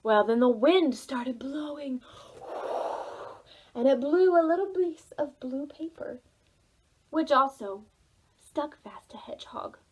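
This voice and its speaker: A woman telling a story to preschoolers as a children's librarian, in a sing-song manner with drawn-out words. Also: high voice, darkish tone.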